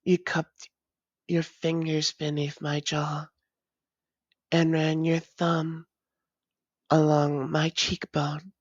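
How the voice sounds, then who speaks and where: A woman's voice: sits low, flat delivery, slow pace, long pauses, no room sound, muffled recording. A woman voicing a doting, long-lost vampire lover in an intimate audio roleplay, speaking tenderly in formal, old-fashioned phrases and often slipping toward a whisper. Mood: fond, intimate. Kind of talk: intimate romantic audio roleplay, slow and breathy.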